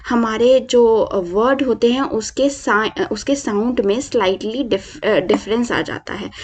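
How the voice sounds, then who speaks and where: A young woman leads an online lesson in a slightly high voice. She speaks slowly and explains step by step, often pausing on fillers. She moves between Hindi and English as she teaches. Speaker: French language teacher.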